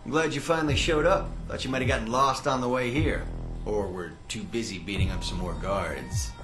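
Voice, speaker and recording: A man's voice: medium pitch, fairly quick, close to an everyday voice. Man playing a cocky, needling agency tech instructor. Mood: smug, offhand. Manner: smug, casual, teasing. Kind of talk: video game cutscene acting. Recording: roomy echo, music behind.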